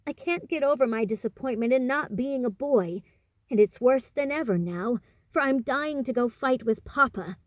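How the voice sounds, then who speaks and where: A woman's voice: a little high, expressive, moderate pace, no room sound, voice pushed up, close to an everyday voice. A woman voicing a blunt, boyish teenage tomboy in a storybook, brash and plain-spoken. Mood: defiant.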